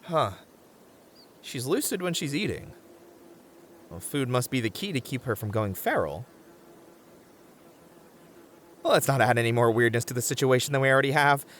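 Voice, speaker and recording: A man's voice: mid-range voice, animated, brisk, fairly bright tone, slight background noise, close to an everyday voice. A man playing an anxious, sensible young camper in a comedy audio roleplay, wry and hesitant, often stammering as the voice of reason. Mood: bewildered, wry.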